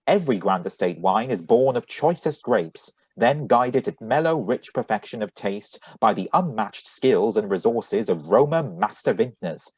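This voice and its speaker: A man playing a suave, husky 1940s radio announcer delivering a sponsor pitch. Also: a little mellow, no room sound.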